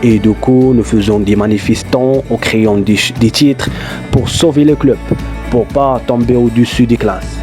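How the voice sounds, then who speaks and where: A man's voice: medium pitch, fairly even intonation, moderate pace, music in the background. A Moroccan man whose first language is Darija, chatting casually in loose, non-native French as he vlogs and tells stories, with lots of fillers. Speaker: YouTuber. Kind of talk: casual vlog storytelling.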